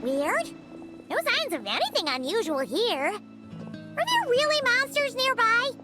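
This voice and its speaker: A woman voicing a cheeky, childlike little fairy companion in a video game, with a squeaky, chirpy way of talking. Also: sing-song, unhurried, heavily acted. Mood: puzzled. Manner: chirpy, speaks of self in third person. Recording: loud game music underneath.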